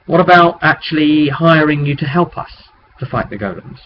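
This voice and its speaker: A man's voice: on the high side, lively intonation, moderate pace, close to an everyday voice. A man voicing a reasonable tabletop-game adventurer who bargains hesitantly, recorded on a webcam. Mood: hesitant bargaining. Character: adventuring party haggling with mercenaries. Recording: dull webcam audio with background noise.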